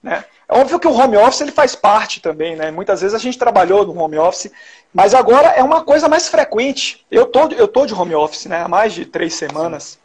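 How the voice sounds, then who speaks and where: A man's voice: sits high, sing-song, moderate pace, slightly rough. A man from Salvador with a Bahian accent, a journalist telling stories in an interview, with many restarts and tag questions. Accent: Bahian Portuguese. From Salvador, Bahia, Brazil. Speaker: journalist guest. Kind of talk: casual interview conversation.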